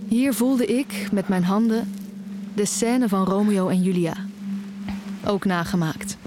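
A woman's voice: medium pitch, steady tone, takes it slowly, natural voice. An actress playing an anxious young student who tells of her search in an audio drama. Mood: tense, hushed narration. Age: young adult. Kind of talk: Dutch audio drama, first-person narration and dialogue. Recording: music behind the voice.

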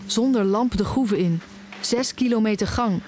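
A woman's voice: on the high side, normal speed, darkish tone, a little hiss or hum, natural voice. An actress playing an anxious young student who tells of her search in an audio drama. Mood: tense, hushed narration. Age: young adult. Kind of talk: Dutch audio drama, first-person narration and dialogue. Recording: music behind the voice.

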